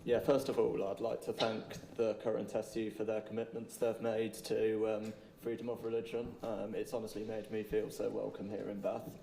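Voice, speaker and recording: A man with a low, dark, gravelly voice that barely rises or falls. He speaks haltingly with many fillers, and his question carries through a roomy, echoing hall with a faint hiss behind it. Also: completely flat delivery. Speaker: student asking a question. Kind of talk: question from the audience. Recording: echoey hall with some noise.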